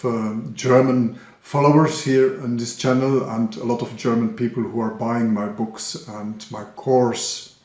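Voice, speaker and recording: A man, a Dutch speaker, making a casual announcement to the viewers of his trading channel, with many pauses and fillers. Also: medium pitch, a little mellow, echoey room. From Flemish Belgium. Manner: hesitant, frequent "uh" and "um", self-corrections. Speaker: trading YouTuber.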